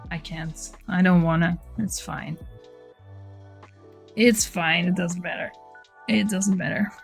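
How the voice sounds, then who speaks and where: Dutch-accented woman live streamer, unhurried chatty delivery, faint music bed. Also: lowish voice, fairly even intonation, gravelly. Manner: chatty, reads chat aloud, frequent laughs and restarts. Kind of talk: casual livestream chat while working.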